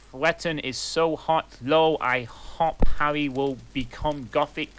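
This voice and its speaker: A man's voice: on the high side, a bit bright. A man reading a story aloud in a comic, mock-earnest manner, with a fireplace crackling behind him. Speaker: reader.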